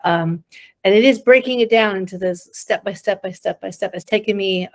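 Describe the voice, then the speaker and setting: A woman's voice: medium pitch, normal speed. A woman in her fifties hosting an interview over a video call from an indoor room. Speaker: host, guild chairperson. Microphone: computer mic on a video call.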